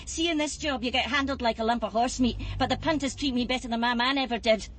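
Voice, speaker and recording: A woman's voice: a little high, fairly even intonation, quick pace, a bit bright, slight background noise, close to an everyday voice. Woman acting a weary, blunt Glaswegian streetwalker. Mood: defensive, bitter. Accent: Glaswegian Scottish. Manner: chatty, blunt, colloquial. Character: weary, shaken, plain-spoken Glasgow streetwalker and mother. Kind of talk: naturalistic radio drama acting.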